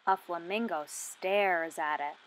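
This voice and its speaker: A woman in her twenties reading a picture book aloud for young children, asking questions and enunciating deliberately. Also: lowish voice, slight background noise, low-quality recording. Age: 20s.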